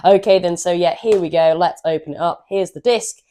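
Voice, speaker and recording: A woman hosting a gaming channel, hoarse from a cold, chatting in an upbeat, rambling way and stumbling over her words now and then. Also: fairly low pitch, normal speed, dark tone. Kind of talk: casual chatty walkthrough of a game manual.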